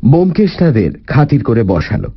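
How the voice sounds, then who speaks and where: A male storyteller narrates a detective tale with theatrical, highly animated intonation. His voice is somewhat husky, and the audio is slightly dull with music behind it. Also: fairly quick.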